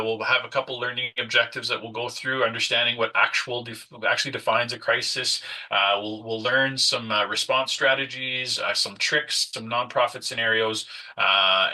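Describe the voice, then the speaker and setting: A man's voice: medium pitch, fairly even intonation, moderate pace, pushed and bright, far from the mic, rough recording. A man whose first language is French, presenting a nonprofit workshop in a conversational way, with frequent fillers and restarts. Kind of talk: online webinar presentation.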